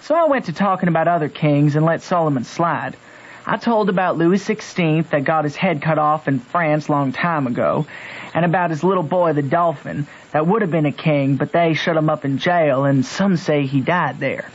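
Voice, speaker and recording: A man's voice: a little high, moderate pace, lightly acted. A man playing Huck's part as a plainspoken backwoods boy with a Southern US accent, telling his adventures in a folksy, matter-of-fact way for a radio drama. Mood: earnest. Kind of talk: old-time radio drama narration and dialogue. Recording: muffled vintage radio recording, music behind.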